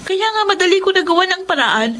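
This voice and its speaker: An actress playing a selfish, scheming young bride-to-be in a radio drama, defiant and self-centred. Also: female voice, extremely high pitch, lively intonation, brisk, bright, forceful tone, full character voice. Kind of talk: Tagalog radio drama acting. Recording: faint background noise.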